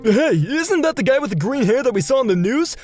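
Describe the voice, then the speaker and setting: A man's voice: very high voice, sing-song, fairly quick, darkish tone, faint music behind, exaggerated voice. A man playing an eager, cocky teenage schoolboy and would-be hero in a manga dub, exclaiming his lines. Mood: excited.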